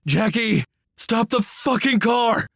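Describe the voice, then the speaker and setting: A man's voice: very high voice, slow pace, gravelly, no room sound, clean studio sound, pitched above the performer's own voice, heavily acted. A man voicing a stoic, protective grown-up twin brother in a dramatic audiobook story. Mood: screaming alarm. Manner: steady, comforting.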